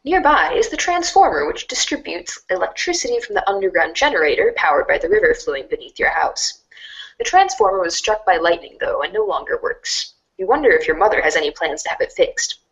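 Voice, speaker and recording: A woman narrating a second-person storybook in an expressive, wry way. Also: fairly low pitch, a little fast, poor audio.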